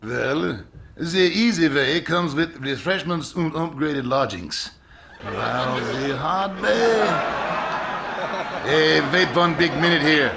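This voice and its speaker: Man doing creaky, vain German lieutenant. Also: a little high, lively intonation, slow, noisy background, exaggerated voice. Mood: leisurely. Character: vain, genial German lieutenant and oompah bandleader. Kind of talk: old-time radio comedy acting.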